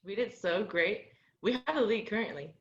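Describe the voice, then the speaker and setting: A young actress playing an upbeat, supportive teammate in a drama, speaking encouragingly. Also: female voice, mid-range voice, normal speed, natural voice. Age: young adult. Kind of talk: drama dialogue. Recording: dull, slightly lo-fi audio.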